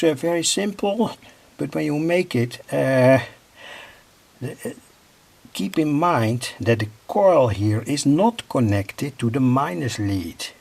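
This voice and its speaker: A man demonstrating a radio circuit at his workbench, speaking haltingly with pauses, fillers and self-corrections. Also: medium pitch, gravelly, a little mellow.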